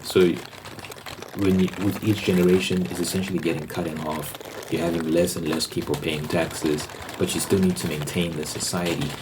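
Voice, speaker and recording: A man giving an explanatory ASMR ramble in a halting way, often repeating words and phrases. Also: sits low, little pitch movement. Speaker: ASMR video host. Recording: noticeable background noise.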